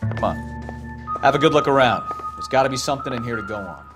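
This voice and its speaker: An actor playing a gruff police detective in a dramatic video game, blunt and colloquial. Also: male voice, medium pitch, animated, fast talker, over loud music, close to an everyday voice. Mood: blunt, businesslike.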